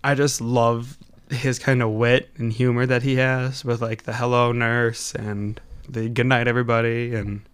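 A young man with a Midwestern Wisconsin accent who rambles and corrects himself as he co-hosts a chatty podcast. His voice is smooth and clear, recorded close and dry with no room sound. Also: mid-range voice, level tone, no echo. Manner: rambling, self-correcting, quick to laugh. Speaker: podcast co-host. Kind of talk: casual chat.

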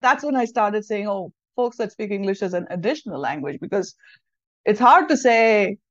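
A woman's voice: mid-range voice, lively intonation, normal speed, no room sound. A woman with an Indian accent and Hindi as her first language, giving thoughtful, explanatory answers in a conversational interview. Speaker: podcast guest, English language teacher and trainer. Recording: dull lo-fi audio.

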